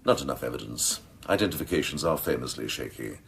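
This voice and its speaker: A scheming senior policeman in a radio drama. His voice is extremely low and gravelly, and it swoops with theatrical, cynical relish as he savours every sardonic phrase. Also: animated, quick pace, full character voice. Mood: gleeful, emphatic. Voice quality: very deep, gravelly.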